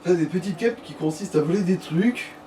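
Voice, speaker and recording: A man's voice: sits high, fairly quick, warm tone. A man chatting casually as a companion during a video game session. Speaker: let's play companion. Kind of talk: casual gaming chat. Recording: distant mic with background noise.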